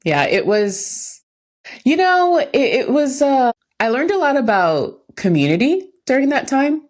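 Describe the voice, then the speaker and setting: A woman's voice: high-pitched, big pitch swings, measured pace. An American woman, a podcast co-host, talking casually in a candid, reflective way about her own experience. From United States, lived in New York when young. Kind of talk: casual chat.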